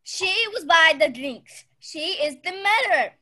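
A woman's voice: extremely high pitch, lively intonation, a little slow, sharp tone, full character voice. A woman acting a jealous, melodramatic wife who speaks accusingly in a mystery radio play. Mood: indignant.

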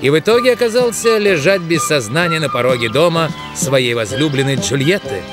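Guy narrator for a kids cartoon, scripted, really expressive pitch, music under. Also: a little fast.

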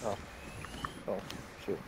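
Casual gaming host, male, meandering talk, voice chat with clear background noise. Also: slow. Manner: rambling, restarts sentences. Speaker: channel host and gamer. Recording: noisy voice chat line.

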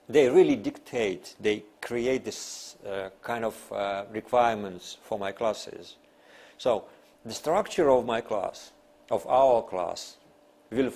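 A man in his fifties, a non-native English speaker, giving an academic lecture in deliberate, emphatic phrases with pauses. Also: mid-range voice, a little mellow. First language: not English. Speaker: university lecturer. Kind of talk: academic lecture, partly read from notes. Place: small classroom, seated at a desk.

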